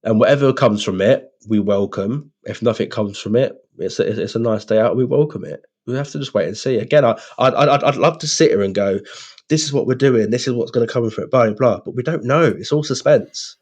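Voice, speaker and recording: A man's voice: mid-range voice, brisk, darkish tone, lo-fi sound. A British man co-hosting a podcast in casual, blokey, sweary chat with a friend. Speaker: podcast co-host.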